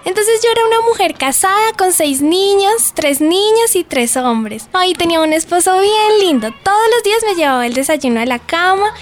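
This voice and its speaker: A woman's voice: very high voice, lively intonation, normal speed, hardly any pauses. An actress playing a dreamy, gushing young woman with a Colombian accent in a radio drama. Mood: girlish, haughty.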